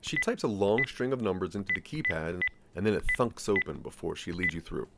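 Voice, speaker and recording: A man's voice: sits low, expressive, fairly quick, vocal fry, very noisy. A man narrating a scripted second-person story for an audio drama, with a dramatic storytelling manner.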